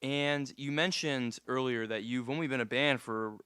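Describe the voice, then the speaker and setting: A man's voice: medium pitch, moderate pace, pushed and bright, clear, resonant tone, no echo, clean studio sound. A man in his twenties hosting a live podcast interview at a music venue, laid-back with frequent fillers. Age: 20s. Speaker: podcast host.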